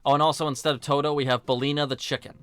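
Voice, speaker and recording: A man hosting a film review video, narrating a scripted essay in a conversational way with wry, deadpan asides. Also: on the high side, racing, music in the background.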